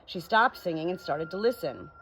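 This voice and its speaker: A woman narrating a children's audiobook in an expressive storybook reading style. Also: on the low side, normal speed, slight background noise.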